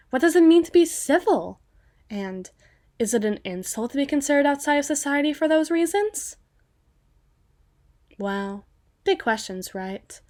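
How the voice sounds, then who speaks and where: A slightly high-pitched vampire girl, acted by a woman in an ASMR roleplay. She is proper and ladylike but cocky, with a teasing, chatty, protective way of talking. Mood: musing. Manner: playfully prim, teasing, with drawn-out phrases and asides.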